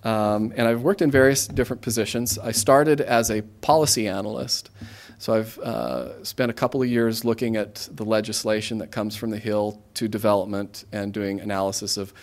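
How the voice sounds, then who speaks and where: Halting American man with a lowish voice. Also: steady tone. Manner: conversational, halting, self-interrupting. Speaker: guest speaker, government aid regional coordinator. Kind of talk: informal career talk to students.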